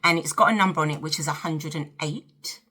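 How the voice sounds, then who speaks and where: A woman's voice: low-pitched, brisk, pushed and bright. A woman in her fifties with a South London accent, chatting casually and directly to her viewers as she hosts a get-ready-with-me vlog. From South London, England. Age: 50s. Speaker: vlog host. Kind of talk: casual chat to camera during a get-ready-with-me.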